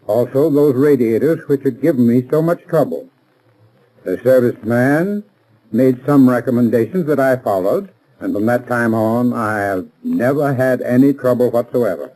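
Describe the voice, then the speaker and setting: A man's voice: medium pitch, animated, moderate pace, mellow, rough recording. An American man reading a scripted 1930s radio advertisement as a spokesman, formal and instructive. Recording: vintage 1930s radio recording.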